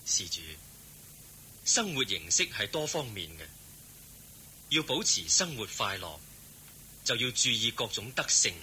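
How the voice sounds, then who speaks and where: An actor playing a serene, kindly holy teacher in a Hong Kong Cantonese radio drama, speaking in a composed, gently instructive way. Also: male voice, mid-range voice, very animated, unhurried, long pauses, a little hiss or hum, heavily acted.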